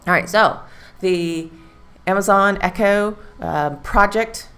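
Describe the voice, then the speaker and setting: A woman's voice: medium pitch, slow, a bit bright, clean tone, faint music behind. A woman hosting a live stream, talking casually and rambling with fillers. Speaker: livestream host.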